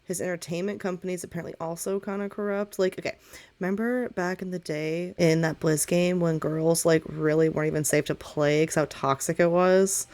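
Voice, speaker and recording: Lady roleplaying excitable girl bestie on a call, teasing, slangy, rambles dramatically in a tabletop game. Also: lowish voice, fairly quick, natural voice. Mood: excited rambling. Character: excitable, teasing young woman friend chatting on the phone.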